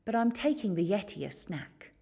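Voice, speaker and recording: A woman's voice: medium pitch, big pitch swings, moderate pace, creaky, mellow, breathy edge, full character voice. A woman voicing an eager, plucky young boy explorer in a children's storybook, earnest and polite. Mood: apologetic.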